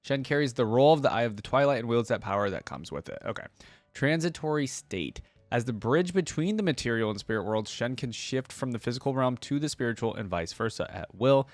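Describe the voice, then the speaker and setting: A man hosting a reaction video, reading text aloud and commenting casually as he thinks out loud to his viewers. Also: mid-range voice, lively intonation, fairly quick, no echo.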